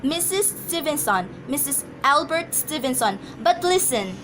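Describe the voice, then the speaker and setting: A woman's voice: high voice, sing-song, a little slow, noisy background. A woman acting an anxious, imperious, easily flustered wife in an amateur radio drama, agitated and stammering. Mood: exasperated.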